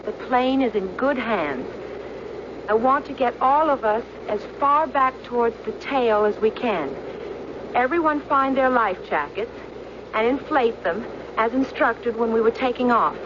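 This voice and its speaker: An actress plays a calm stewardess taking command in a radio drama emergency. Her voice is a little high and firm. The sound is muffled, with a clear cabin drone behind her. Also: unhurried, close to an everyday voice. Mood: firm, reassuring. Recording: dull, noisy old radio drama recording. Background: aircraft cabin drone.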